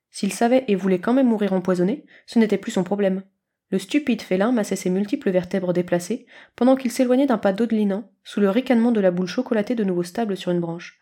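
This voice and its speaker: A woman's voice: mid-range voice, mellow. A woman reading literary storybook narration as the narrator.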